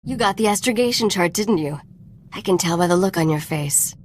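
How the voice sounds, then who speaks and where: A woman's voice: lowish voice, lively intonation, fairly quick, faint music behind. A woman playing a sly, cool, worldly treasure hunter in a video game, wry and knowing.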